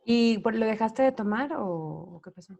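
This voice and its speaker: A woman's voice: on the high side, lively intonation, moderate pace, gravelly, dark tone. A woman, chatty and asking questions in a group video call. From Mexico. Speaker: support group participant.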